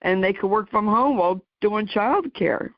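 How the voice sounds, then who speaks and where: A woman in her sixties talks casually as a podcast host, with a mid-pitched voice and a rambling way of repeating herself. The sound is very dry and a little dull. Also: moderate pace, no room sound. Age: 60s. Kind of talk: casual conversational interviewing. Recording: dry, slightly dull audio.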